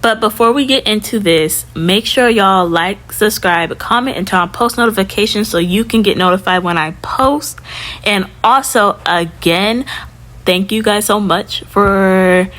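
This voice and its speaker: A woman's voice: medium pitch, a little slow, a bit bright. A young woman giving a casual, chatty how-to tutorial as a video creator, talking informally with lots of fillers. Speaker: YouTube creator.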